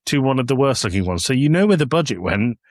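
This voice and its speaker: A man's voice: mid-range voice, sing-song, fast talker, no room sound, studio quality. A man co-hosting a podcast, chatting casually and reading summaries aloud in a jokey, playful way. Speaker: podcast co-host.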